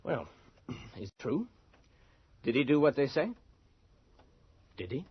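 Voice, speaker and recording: A man's voice: mid-range voice, sing-song, slow pace, breathy edge, dry recording, heavily acted. A male actor playing a courteous, ingratiating and persistent newspaper reporter in a radio drama. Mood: polite, probing. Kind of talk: 1980s radio drama acting. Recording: muffled old radio broadcast.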